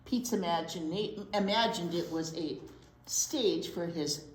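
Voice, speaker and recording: A woman's voice: on the low side, a little slow, a little hiss or hum. A woman reading a picture book aloud to young children as a storytime teacher, expressively drawing out key words and asking little questions. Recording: roomy, echoey indoor sound.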